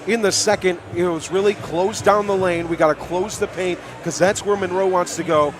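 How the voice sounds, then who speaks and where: A man calling live high school basketball as a play-by-play announcer, upbeat and chatty, projecting his voice from the gym. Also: steady tone, normal speed, noisy background. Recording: live courtside gym broadcast.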